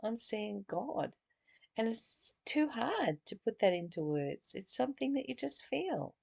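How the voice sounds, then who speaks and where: Woman reading a spiritual text out loud, really slow, bit of fry in the voice, earnest, kinda stumbles over phrases. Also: fairly high pitch, expressive. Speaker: spiritual teacher leading a book study. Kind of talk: reading aloud with spoken commentary.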